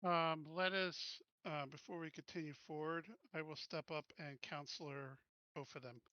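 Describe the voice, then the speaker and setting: Man, tabletop player, higher pitch, very bright crisp tone, casual game talk, dry recording. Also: fairly even intonation, moderate pace, no room sound, dull audio.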